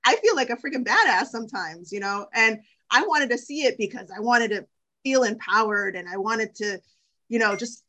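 A middle-aged woman co-hosting a podcast, chatting casually with quick enthusiasm and frequent laughter. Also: on the high side, expressive, sharp tone. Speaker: podcast co-host. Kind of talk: casual chat.

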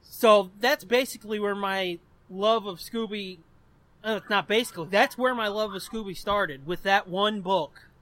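A man's voice: sits high, measured pace, a bit bright, dry recording. An American man in his twenties hosting a podcast, chatting casually and telling personal stories in a rambling, profanity-laced way. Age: 20s. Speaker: podcast host.

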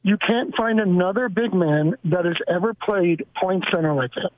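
A man calling in to a sports radio show and making a steady, earnest argument. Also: high voice, normal speed. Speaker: caller. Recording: tinny noisy phone line.

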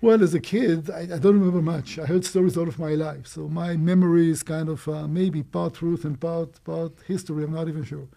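A man in his sixties, born in Romania, telling his life story as an interview guest in a brisk, animated way. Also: fairly high pitch, gravelly. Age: 60s. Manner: brisk, animated, quick asides and small laughs.

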